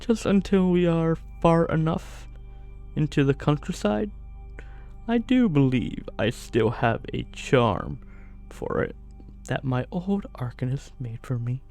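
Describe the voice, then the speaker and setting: A man playing a menacing evil sorcerer in a fantasy ASMR roleplay, falsely gentle and condescending, with soft-spoken threats. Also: fairly high pitch, animated, faint music behind. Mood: calm menace. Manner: slow, silky, condescending, softly threatening.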